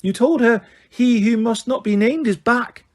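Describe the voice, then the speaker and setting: A man voicing a stern, brisk older female teacher who snaps her questions. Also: very high voice, pitched above the performer's own voice. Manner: brisk and snapping.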